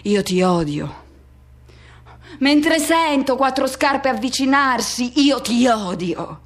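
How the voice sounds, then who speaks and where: High-pitched, intense, embittered dramatic monologue. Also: female voice, lively intonation, slow. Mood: resentful, resolute. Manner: intense, incantatory repetition, swinging from hushed to shouting. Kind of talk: dramatic radio theatre monologue.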